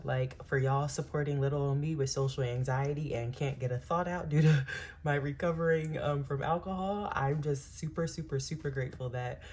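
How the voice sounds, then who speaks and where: A woman's voice: low-pitched, steady tone, moderate pace, a little mellow. A woman in her thirties hosting a planning channel, chatting casually with many ums while she works, a little stuffy from a cold. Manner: chatty, many ums, Southern-style "y'all".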